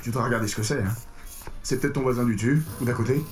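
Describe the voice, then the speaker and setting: A French actor playing a talkative, insistent friend in a naturalistic audio drama. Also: male voice, medium pitch, very fast, natural voice. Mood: insistent, puzzled. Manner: insistent, chatty. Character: talkative, fixated male friend bothered by noise. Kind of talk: naturalistic audio fiction dialogue.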